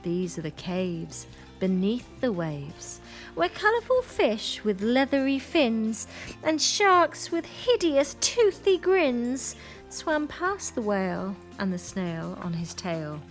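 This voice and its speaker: A woman reading a rhyming children's picture book aloud with expressive, deliberate storytelling. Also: on the high side, soft background music.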